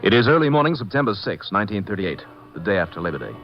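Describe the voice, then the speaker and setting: An actor as the sober narrator of a crime story in a 1950s radio drama. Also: male voice, fairly low pitch, sing-song, very fast. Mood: sober, urgent. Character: brisk, sober narrator of a true crime tale. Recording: muffled old-time radio recording. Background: music.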